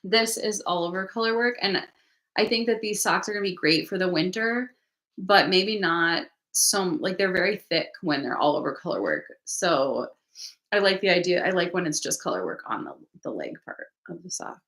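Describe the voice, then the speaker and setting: A woman with a lowish, gravelly voice chats casually about her craft plans, wandering off mid-thought and circling back. She sounds a little sniffly, talking from a room at home. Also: expressive, normal speed, fairly bright tone. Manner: rambling, self-interrupting, thinking aloud. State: sniffly from allergies. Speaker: knitting channel host. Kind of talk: casual livestream chat.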